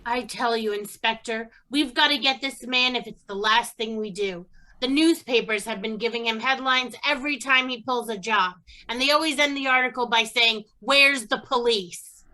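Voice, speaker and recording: A woman's voice: fairly high pitch, moderate pace, rough recording. A woman playing a stern, exasperated police chief with a commanding manner in an old-time radio crime drama. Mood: frustrated. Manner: forceful, commanding, indignant.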